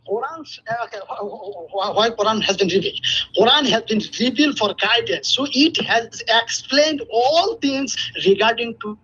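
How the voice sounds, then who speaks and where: Husky Bangladeshi-accented male caller, muffled line. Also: extremely high pitch, sharp tone. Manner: halting, repetitive, pleading to explain. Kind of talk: live religious debate over a call. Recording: muffled, lo-fi internet call audio.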